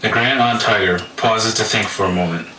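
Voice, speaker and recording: A man's voice: fairly low pitch, normal speed, a little hiss or hum. A man narrating a puppet-show folktale as a scripted story. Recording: echoey, distant, loud music behind.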